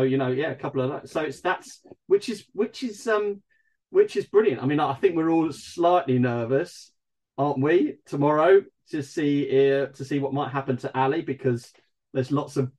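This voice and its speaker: A man's voice: mid-range voice, darkish tone. A man in casual football chat, speaking effusively and gushing with praise for the players.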